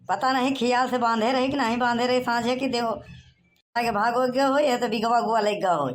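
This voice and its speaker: A man's voice: very high-pitched, fairly even intonation, fairly quick, a little hiss or hum. A man voicing a blustering village husband in a cartoon, in a rustic Awadhi accent from eastern Uttar Pradesh. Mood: worried, grumbling. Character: blustering village husband searching for his lost goat. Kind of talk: cartoon dialogue in rustic dialect.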